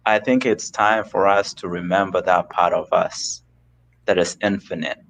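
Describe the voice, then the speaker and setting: A man from Kenya, a guest on a live video call, talking slowly and earnestly about spiritual ideas. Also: low voice, steady tone, creaky, a bit bright, slight background noise. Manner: slow, searching and earnest. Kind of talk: reflective spiritual conversation.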